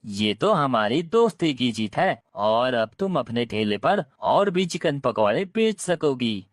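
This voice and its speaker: A woman's voice: extremely low pitch, big pitch swings, measured pace, no room sound, clean studio sound, exaggerated voice. A woman voicing a friendly, encouraging male parrot in an earnest cartoon story. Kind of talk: cartoon moral story dialogue.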